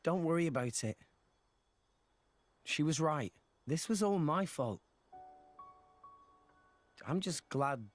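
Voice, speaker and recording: A sincere teenage boy hero from a fantasy game, with a highish voice that moves up and down a lot. He talks slowly and earnestly over music. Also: animated. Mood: downcast, self-blaming. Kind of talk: video game cutscene voice acting.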